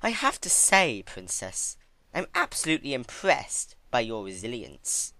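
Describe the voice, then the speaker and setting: A man's voice: mid-range voice, huge pitch swings, measured pace, sharp tone, no room sound, pitched above the performer's own voice, full character voice. A man voicing a cruel female pony villain who taunts with mock sweetness in a fan-fiction story. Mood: mock sweet.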